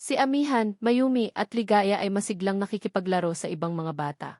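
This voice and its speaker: A woman narrates a scripted romance in a dramatic storytelling style. Her pitch sits in the middle range for a woman. The recording is dry and close, with hardly any room sound. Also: fairly quick, a little mellow, no echo.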